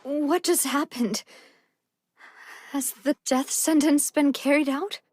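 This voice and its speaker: A young female bystander in a fantasy game. She has a high voice and slow delivery, with slight noise behind. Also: steady tone. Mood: confused. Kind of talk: video game voice acting.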